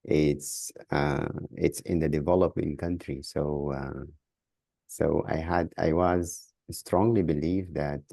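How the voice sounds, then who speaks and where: A man from Sudan with a Sudanese accent, answering as a podcast guest in a halting way, repeating words as he searches for phrasing. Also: very deep voice, steady tone, vocal fry, dark tone, dry recording, clean studio sound. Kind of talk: interview answers.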